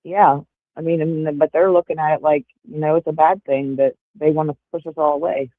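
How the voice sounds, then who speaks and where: A woman's voice: low voice, fairly even intonation, brisk, no echo. Chatty woman on a tinny call line. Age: 40s. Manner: chatty, rambling with many fillers. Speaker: livestream guest. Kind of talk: casual chat. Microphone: earbuds over a call.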